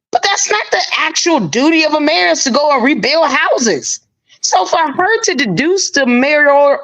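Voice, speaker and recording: Opinionated female guest, high voice, casual commentary. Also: fairly bright tone, low-quality recording. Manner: opinionated, talks at length. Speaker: livestream guest.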